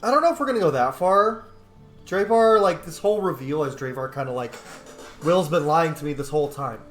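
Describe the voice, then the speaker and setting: A man's voice: on the high side, lively intonation, brisk. A man giving casual gameplay commentary as a streamer, informal, with swearing and joking asides. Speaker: game streamer. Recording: roomy echo on voice. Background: faint game music.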